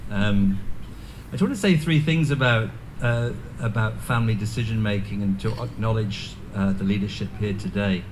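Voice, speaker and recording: A man with a Welsh accent speaks in a fairly low voice, delivering an earnest ceremonial address. He hesitates often, with ums and restarts. Clear background noise from the gathering sits behind him. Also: normal speed, poor audio. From North Wales. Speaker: guest speaker. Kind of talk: ceremonial speech. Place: community event venue.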